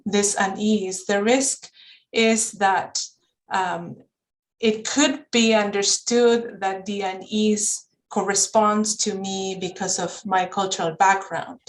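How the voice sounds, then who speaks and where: A woman's voice: medium pitch, low-quality recording. A woman who speaks Spanish as her first language, talking as a counselor on a panel in a thoughtful way, often searching for words and restarting. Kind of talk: reflective conversational talk. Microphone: video call.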